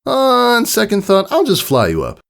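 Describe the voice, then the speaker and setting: Man putting on a high-pitched brash young pegasus mare. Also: huge pitch swings, moderate pace, creaky, fairly bright tone, exaggerated voice. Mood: teasing, confident. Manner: boastful, teasing, exclamatory. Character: brash, cocky, excitable young female pegasus pony. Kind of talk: acted storybook character dialogue.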